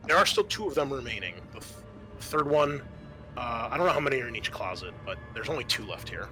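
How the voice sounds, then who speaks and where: A man working as game master, improvising narration for a tabletop roleplaying game in a halting, thinking-aloud way. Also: mid-range voice, animated, over music.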